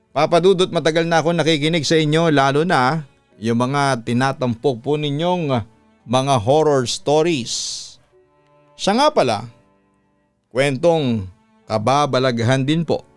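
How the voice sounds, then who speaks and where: A Filipino man narrating a scripted horror story as a storytelling host, in a measured, deliberate way with drawn-out words. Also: medium pitch, smooth, no room sound. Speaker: radio announcer and storyteller, host. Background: background music.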